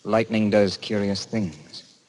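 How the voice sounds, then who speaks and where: An actor playing a cautious, authoritative doctor speaking gravely in a 1940s radio drama. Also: male voice, on the low side, fairly even intonation, slow pace, darkish tone, rough recording. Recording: old radio recording, faint noise.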